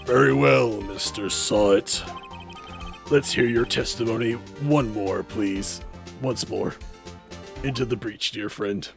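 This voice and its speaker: A man voicing a stern courtroom judge in a video game, speaking in a measured, pompous, formal way. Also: lowish voice, vocal fry, music in the background, pitched below the performer's own voice. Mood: formal, patient.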